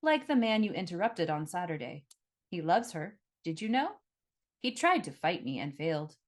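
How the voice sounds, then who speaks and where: A woman plays a demon wearing a man's body in a fantasy audiobook. The speech is formal and deliberate, cold and threatening, like a predator that feels no need to hurry. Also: lowish voice. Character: menacing demon possessing a man, cold and formal.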